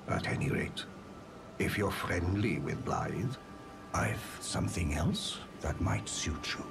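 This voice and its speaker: An actor playing a huge, aged, kindly giant blacksmith in a fantasy game, speaking with measured old-fashioned courtesy in a breathy, hushed rumble. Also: male voice, heavily acted. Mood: musing. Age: older. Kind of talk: solemn fantasy game dialogue.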